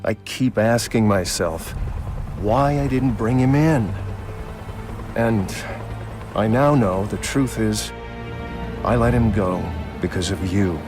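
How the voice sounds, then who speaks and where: Weary, low-voiced police captain. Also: male voice, takes it slowly, long pauses. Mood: troubled, hopeful. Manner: grave, sincere, reflective. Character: weary, earnest male police captain, middle-aged father. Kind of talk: video game cutscene drama. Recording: music behind.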